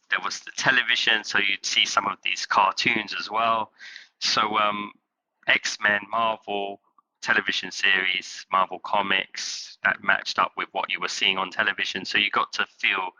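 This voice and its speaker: A man from England, a company's chief executive, answering interview questions in halting phrases with many fillers. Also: lowish voice, gravelly, bright, forceful tone, rough recording. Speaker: guest, company CEO.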